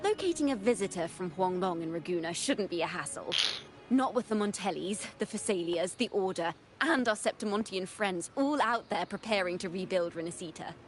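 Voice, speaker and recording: An actress voicing a poised, knowing young woman adventurer who recounts events in measured, encouraging video game dialogue. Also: mid-range voice, animated, close to an everyday voice. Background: game music.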